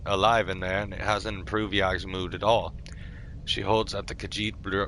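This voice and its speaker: A man on a live roleplay gaming stream, reading journal entries aloud in a slightly theatrical, halting way. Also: sits low, pushed and bright, slight background noise, low-quality recording. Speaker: game streamer.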